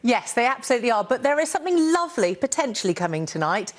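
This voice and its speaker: A woman's voice: a little high, big pitch swings, fast. Chatty, jokey female weather presenter. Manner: warm, jokey, chatty delivery. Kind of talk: broadcast weather forecast with chat.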